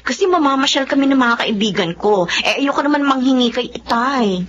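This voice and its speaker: A cajoling Filipina in her twenties in a radio drama. Her voice is high and very animated, pouty and playful. The sound is slightly dull with faint noise. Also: brisk. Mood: cajoling, sweet. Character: carefree, cajoling 24-year-old daughter, pouty.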